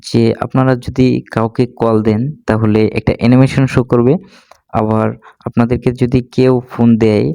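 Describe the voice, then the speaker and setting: A man narrating a step-by-step tech tutorial in an instructional way, pausing between steps. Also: medium pitch, warm tone, clean tone, no room sound.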